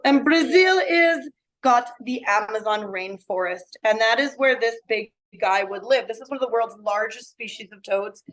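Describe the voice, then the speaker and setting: A slightly hoarse woman in her thirties, explaining animals to a class of young children. Her delivery is animated and full of questions for the kids. She is heard from a few feet away in a school classroom. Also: fairly high pitch, normal speed, low-quality recording. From Canada. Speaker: science educator. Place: elementary school classroom, indoors.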